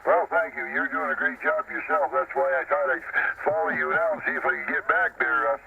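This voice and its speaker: A man's voice: a little high, brisk, gravelly, narrow, tinny audio. A man from Ontario, Canada, chatting casually as a CB radio operator, with easygoing, folksy, hesitant phrasing. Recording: very noisy, static-laden CB radio reception.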